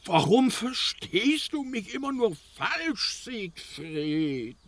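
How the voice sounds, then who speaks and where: An actor playing a scheming old dwarf smith in a fantasy radio play, fawning, flattering and full of feigned innocence. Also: male voice, high-pitched, slow pace, exaggerated voice.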